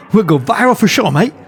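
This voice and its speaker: A man's voice: high-pitched, voice pushed up. A man doing a rowdy young pilot. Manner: boisterous, eager, shouting with excitement. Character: excitable young male helicopter pilot.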